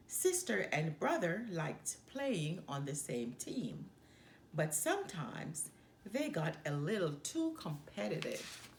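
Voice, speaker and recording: Woman reading a storybook, slow and very animated. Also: fairly low pitch. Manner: expressive, measured storytelling. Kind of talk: children's picture book read-aloud. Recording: echoey room with faint background noise.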